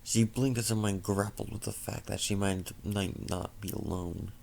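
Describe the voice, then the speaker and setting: A tired man narrating a fan fiction story as an amateur reader, halting and stumbling over words. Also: fairly low pitch, fairly even intonation, gravelly, darkish tone. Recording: faint background noise.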